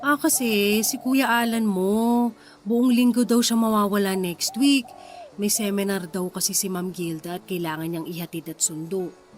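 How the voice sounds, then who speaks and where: A woman's voice: mid-range voice, steady tone, moderate pace, soft background music, natural voice. An actress playing an anxious, suspicious pregnant wife who downplays her worries in a Filipino radio drama, with a casual, emotional delivery. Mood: hesitant, casual. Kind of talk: Tagalog radio drama acting.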